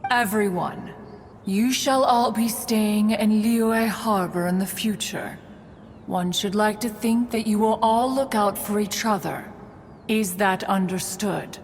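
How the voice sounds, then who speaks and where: A woman's voice: medium pitch, slow, a little hiss or hum. A woman voicing an aloof elder female crane spirit in a game, speaking in a pompous, archaic way. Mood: haughty. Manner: pompous, archaic, calls herself "one". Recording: reverberant, with game music.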